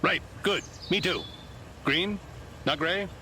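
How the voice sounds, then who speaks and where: Higher-voiced, skeptical soldier. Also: male voice, slow, pushed and bright, a little hiss or hum, exaggerated voice. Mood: unsure, disbelieving. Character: skeptical, stiff American army subordinate. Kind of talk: video game cutscene acting.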